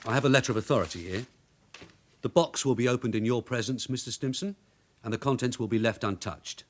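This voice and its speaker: An actor playing a shrewd, hard-nosed long-serving police detective sergeant in a radio drama, matter-of-fact and dryly authoritative. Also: male voice, medium pitch, fairly quick, natural voice. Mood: firm, official. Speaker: actor in the lead role.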